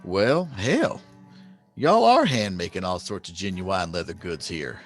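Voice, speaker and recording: A man's voice: deep voice, very animated, a little slow. A man acting a naive, curious country customer in a comic radio advert, with rustic, puzzled questioning. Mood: curious, impressed. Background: plucked guitar music.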